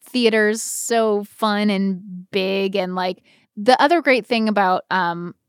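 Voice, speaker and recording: A woman co-hosting a podcast in casual, comedic chat, telling stories in an animated way with dramatic asides and casual swearing. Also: medium pitch, takes it slowly, smooth, no room sound, clean studio sound. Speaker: podcast co-host.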